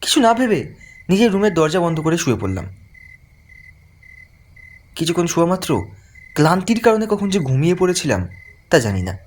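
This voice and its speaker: A man's voice: on the high side, sing-song, moderate pace, pauses often. A man playing a frightened young man who tells a horror story in dramatic, suspenseful first-person narration. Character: frightened young man recounting his haunted-house visit. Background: music.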